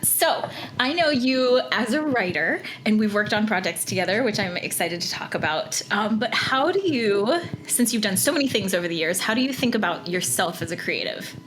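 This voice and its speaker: A woman's voice: medium pitch, lively intonation, moderate pace, hardly any pauses, fairly bright tone, slight background noise, rough recording. A woman hosting a podcast in a chatty, effusive way, moving between scripted introductions and casual interview talk. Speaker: podcast host.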